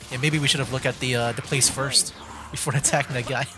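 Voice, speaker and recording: A man's voice: mid-range voice, steady tone, quick pace, over music. A man streaming a video game with rambling, laid-back, casual commentary.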